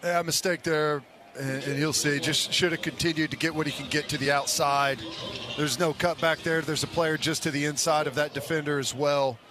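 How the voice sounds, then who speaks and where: Male football color analyst, higher-pitched voice. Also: steady tone, moderate pace, a crowd in the background. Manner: conversational, explanatory, speaks in short loose phrases. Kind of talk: football analysis between plays. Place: stadium broadcast booth.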